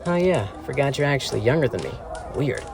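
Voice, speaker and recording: A man's voice: mid-range voice, sing-song, brisk, gravelly, noisy background. A man playing an eager, cheeky teenage sidekick in an audio drama, talking in casual boyish slang. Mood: friendly.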